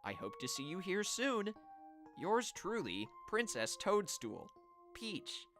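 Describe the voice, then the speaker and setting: A man's voice: sits high, sing-song, takes it slowly, fairly bright tone, soft background music, pitched above the performer's own voice, exaggerated voice. A man voicing a gracious, sweet young video game princess in a dainty, polite manner.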